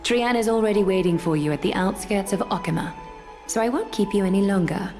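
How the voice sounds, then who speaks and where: An actress playing a composed, regal noblewoman leader in a video game, speaking formally and in a measured, gracious way. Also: medium pitch, darkish tone, faint music behind, lightly acted. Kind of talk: scripted video game dialogue.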